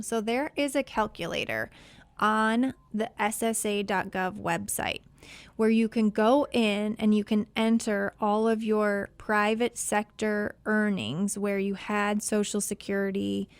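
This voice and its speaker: A woman's voice: medium pitch, no room sound. A woman hosting a call-in financial advice show, friendly and patient as she explains things to a caller. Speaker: financial advisor host.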